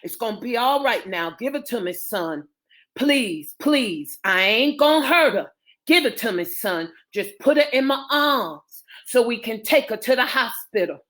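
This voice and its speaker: Male doctor for dramatic storytelling, read by a woman, commanding but gentle, unhurried. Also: on the high side, animated. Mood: soothing. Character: urgent, commanding male doctor, soothing yet firm.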